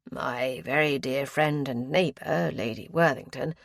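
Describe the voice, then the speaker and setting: A courteous nobleman around forty, as a woman puts him on, measured and gracious. She lowers her voice and slows for him. The sound is dry and close. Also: vocal fry, no room sound, exaggerated voice.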